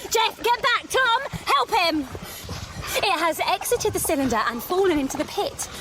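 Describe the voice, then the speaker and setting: An actress playing an anxious scientist in an audio drama, reporting from the field in a halting, stammering way. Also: female voice, very high-pitched, big pitch swings. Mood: alarmed, urgent. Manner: halting, stammering under stress. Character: anxious woman scientist reporting live on an alien landing. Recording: noisy outdoor scene with loud background ambience.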